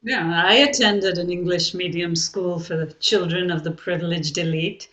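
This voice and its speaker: A reflective Bengali woman narrator played by an actress in a dramatic reading, recorded in an echoey room. Her voice is bright and clear-edged, and she speaks in measured, expressive, literary phrases. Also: on the low side, highs cut off, natural voice. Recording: echoey, roomy sound.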